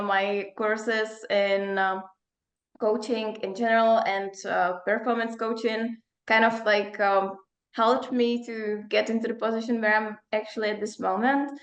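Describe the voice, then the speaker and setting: Czech woman, higher voice, roomy echo. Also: fairly bright tone. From Czech Republic. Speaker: performance coach and psychologist. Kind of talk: casual interview talk.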